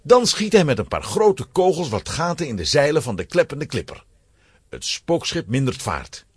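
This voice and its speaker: A man's voice: fairly high pitch, very animated, moderate pace, husky, no room sound, studio quality. A man narrating a children's adventure story in a dramatic, scripted storytelling style.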